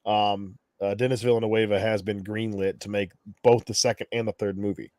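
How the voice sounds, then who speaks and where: Mann, tiefe Stimme, zögerlich, Wortwiederholungen, trockener Klang. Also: male voice, lowish voice, level tone, normal speed, a little mellow, no echo, clean studio sound. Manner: hesitant, repeats words, clears throat often. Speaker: co-host. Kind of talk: casual livestream chat.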